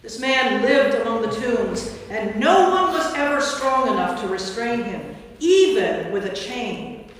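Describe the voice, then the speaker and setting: A woman's voice: on the high side, lively intonation, measured pace. Woman narrating scripture, echoing church. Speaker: narrator, priest. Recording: distant mic, very echoey church hall.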